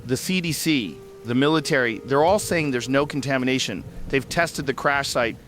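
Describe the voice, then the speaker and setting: An actor as probing male talk show host, medium pitch. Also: moderate pace, fairly bright tone, lightly acted. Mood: skeptical. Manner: probing, conversational. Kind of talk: talk show interviewing in audio drama.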